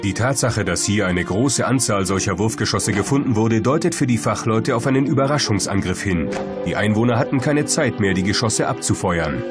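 A man's voice: fairly low pitch, animated, fairly quick. A man reading scripted documentary narration as a voice-over. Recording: voice-over over loud background music. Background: prominent music.

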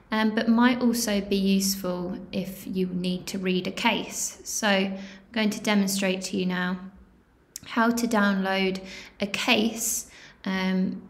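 A woman instructor narrating a software tutorial in a measured, step-by-step manner, with pauses. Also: medium pitch, creaky. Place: indoor screen-recording voice-over.

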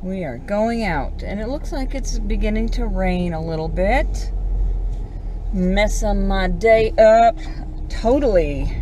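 A woman's voice: mid-range voice, animated, slow pace. An older woman, chatting in a rambling, homespun way as she vlogs from her car. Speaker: vlogger. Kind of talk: casual driving vlog narration. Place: inside a moving car. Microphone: camera on the dashboard. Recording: loud road noise in a car. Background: car and road noise.

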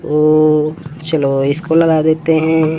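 A man narrates toy play for small children in a high voice. He takes his time, exclaiming and repeating little interjections in a sing-song way. There is clear ambient noise behind him. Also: little pitch movement, slow, dark tone. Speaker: toy channel presenter.

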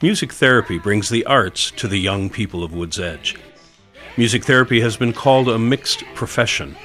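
A man's voice: on the low side, a bit bright. A man with an American accent reading scripted documentary narration. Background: background music.

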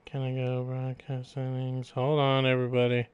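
A man's voice: mid-range voice, monotone, moderate pace, smooth, dull audio. Casual guy streaming a game. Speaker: live-streaming gamer. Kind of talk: casual game commentary chat. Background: game audio and music.

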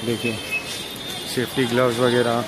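Mumbai man narrating over loud street noise. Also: medium pitch, completely flat delivery, unhurried. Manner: informal, repetitive, pointing things out as he goes. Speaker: vlogger guiding a market tour. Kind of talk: casual walking-tour commentary. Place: outdoors on a busy market street. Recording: loud street traffic and ambience. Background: traffic and urban street noise.

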